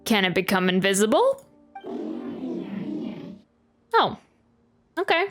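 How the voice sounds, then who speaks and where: A woman's voice: medium pitch, wildly swooping pitch, moderate pace. A woman streaming a game, chatting casually and rambling as she thinks aloud. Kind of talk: casual live stream commentary. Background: game music.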